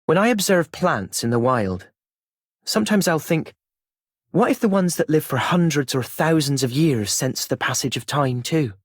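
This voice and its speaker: An actor playing a reflective, mild man in a video game, musing gently. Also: on the high side, expressive, normal speed, no room sound, clean studio sound. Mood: pensive.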